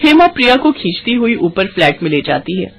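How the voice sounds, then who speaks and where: A woman's voice: medium pitch, very animated, brisk, faint music behind. Female narrator for scripted bedtime moral stories aimed at children. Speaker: story narrator.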